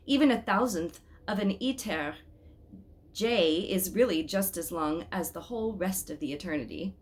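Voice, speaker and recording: A woman's voice: fairly low pitch, expressive, normal speed, lightly acted. A woman voicing a male wise king who reasons in a precise, pedantic way. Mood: pondering, pedantic.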